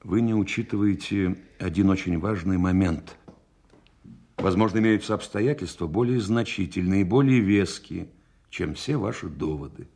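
An actor in a radio play voicing a calm, probing senior general who speaks in a deliberate, official manner. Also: male voice, low-pitched, husky. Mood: grave, official. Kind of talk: Soviet-style radio play acting.

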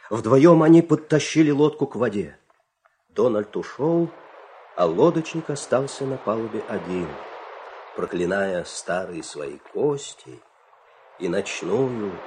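A man narrating a scripted fairy tale as an expressive storyteller. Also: lowish voice, slight background noise.

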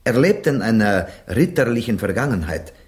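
Passionate, animated Spanish gentleman. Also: lowish voice, normal speed. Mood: insistent. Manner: declamatory, sprinkles in Spanish exclamations. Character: passionate, philosophical Spanish gentleman of Shakespeare's day. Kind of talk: theatrical German radio drama acting.

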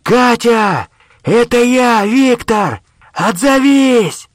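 A man voicing a frantic, exasperated searcher in an audiobook, with urgent, loud and indignant delivery. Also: on the low side, expressive, brisk, no echo, studio quality. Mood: urgent calling.

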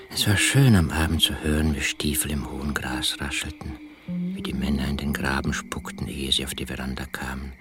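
An actor playing an embittered, obsessive poor farmer who narrates a radio play in a hushed, intimate, brooding way. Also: male voice, extremely low pitch, heavily acted. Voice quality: husky, hushed. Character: Charlie, embittered poor rural farmer obsessed with his jar. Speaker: first-person narrator.

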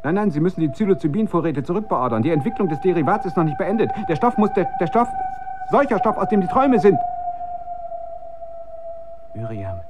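A man's voice: high voice, big pitch swings, fairly quick, long pauses, heavy background noise. A man in a German radio drama playing a tormented research chemist who is losing his grip on reality, intense and urgent. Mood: confused, desperate. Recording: dull, band-limited radio recording.

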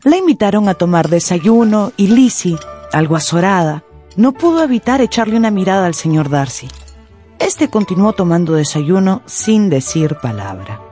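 A woman narrating a scripted radio drama in an expressive storytelling manner. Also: lowish voice, normal speed, soft background music.

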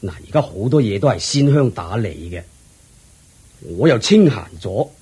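A husky, kindly old lord. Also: male voice, fairly low pitch, lively intonation, a little mellow. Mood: contented. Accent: Hong Kong Cantonese. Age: older. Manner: slow, measured, approving. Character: elderly kindly male lord, generous father-in-law. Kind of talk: radio drama acting.

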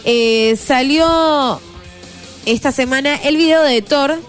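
A woman's voice: high-pitched, slow, soft background music. A woman co-hosting a radio show, chatting and reading entertainment news. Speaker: radio co-host. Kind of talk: casual radio chat, reading news items.

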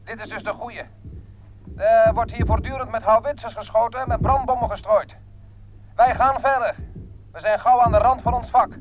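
High-voiced strained soldier on field telephone. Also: male voice, fairly even intonation, normal speed, noisy background. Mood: harried. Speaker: actor in a radio play. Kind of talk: Dutch radio drama acting. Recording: muffled, band-limited old radio recording.

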